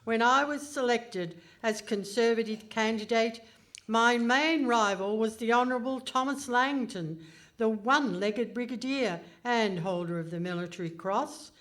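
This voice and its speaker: A woman playing a haughty, pompous Iron Lady politician in a comic radio play, speaking in a stately, deliberate manner. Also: on the high side, a bit bright. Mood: haughty, dismissive. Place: hall stage before a live audience.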